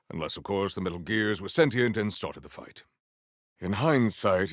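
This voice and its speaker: Deep, stern, noble warrior. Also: male voice, dry recording, clean studio sound, full character voice. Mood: grave, deadpan. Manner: formal, grandiose, deliberate, deadpan. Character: noble, stern warrior vault hunter, formal and earnest. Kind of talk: theatrical video game character acting.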